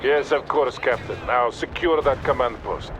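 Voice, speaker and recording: An actor playing a weary, authoritative Soviet colonel in a video game, giving deliberate orders over a lo-fi radio. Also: male voice, mid-range voice, lightly acted. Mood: measured, commanding. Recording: dull lo-fi radio, loud battle noise.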